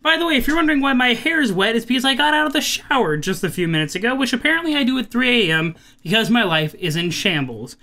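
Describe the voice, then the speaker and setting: A man's voice: very high-pitched, creaky, pushed and bright. A man hosting a visual novel playthrough, reading narration aloud with chatty, amused, wry asides. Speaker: let's play host.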